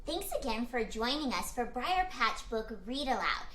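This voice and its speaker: A woman's voice: a little high, sing-song, normal speed, loud music behind the voice. A woman hosting a children's read-aloud, addressing young listeners directly in a chirpy, scripted way. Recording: echoey room, background noise.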